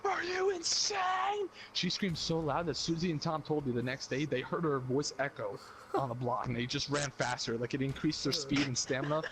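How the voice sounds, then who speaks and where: A young man casually telling a comic personal anecdote on a podcast, animated and slangy with some swearing. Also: mid-range voice, a little fast, a little hiss or hum, low-quality recording. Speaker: podcast storyteller.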